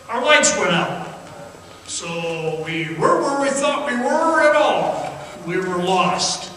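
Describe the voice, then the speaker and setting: A man's voice: sits high, fairly bright tone. An actor playing an earnest, haunted young man in a radio theatre monologue, confiding, insistent and jittery. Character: earnest, haunted young man recalling being lost underground. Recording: echoey hall, distant, faint noise.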